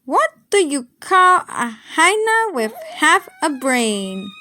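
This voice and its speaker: Woman playing a cocky male meerkat, storybook wisecracker, very high voice, brash, theatrical, drawn-out words. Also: very animated, pushed and bright, no echo, exaggerated voice. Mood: teasing.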